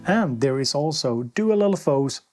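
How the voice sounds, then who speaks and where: A man's voice: fairly high pitch, expressive, moderate pace. A man talking casually as he hosts a hands-on product demo and review. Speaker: synth reviewer and host. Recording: loud synthesizer music behind. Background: synthesizer demo sounds.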